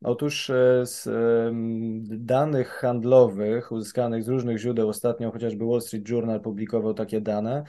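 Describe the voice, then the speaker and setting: A man in his thirties gives careful expert commentary, often pausing with fillers and restarting his sentences. His voice is mellow and dark-toned, sitting at an ordinary pitch. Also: flat delivery. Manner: hesitant, many fillers and restarts. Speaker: policy analyst guest.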